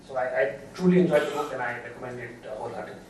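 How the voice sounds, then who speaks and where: A man speaking as a book launch panelist, thoughtful and halting, often restarting his sentences. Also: mid-range voice, a little mellow, slight background noise. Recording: echoey hall, far from mic.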